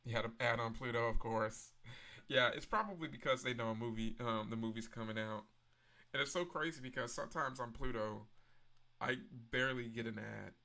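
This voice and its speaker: A man hosts a live stream, chatting easily and replying to his viewers one message at a time. His voice is gravelly with a lot of fry, yet bright and forceful, at a middle pitch. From Memphis, Tennessee. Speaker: livestream host. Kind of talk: casual live-stream chat.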